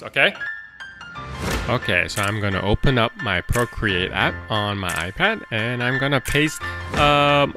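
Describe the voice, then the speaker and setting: A storyteller and drawing teacher talking to children in an informal way, often thinking aloud. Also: medium pitch.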